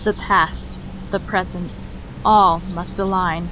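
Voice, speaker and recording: A woman's voice: fairly low pitch, fairly even intonation. Woman playing a solemn older mage, noisy backdrop. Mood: solemn chanting. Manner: measured, solemn, formal. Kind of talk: fantasy audio drama acting.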